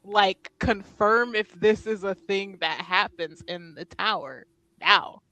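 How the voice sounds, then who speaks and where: A woman improvising narration and dialogue in a tabletop roleplaying game, in a halting, giggly, conversational way. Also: medium pitch, sharp tone, poor audio.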